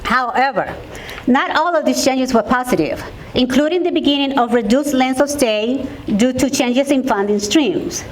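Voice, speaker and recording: A woman gives a conference presentation, halting with fillers, then reading steadily. Her voice sits a little high for a woman. Faint noise hangs under the recording. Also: expressive, rough recording. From Honduras. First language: Spanish. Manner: hesitant, many fillers at first. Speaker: program manager. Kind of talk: conference presentation, partly read.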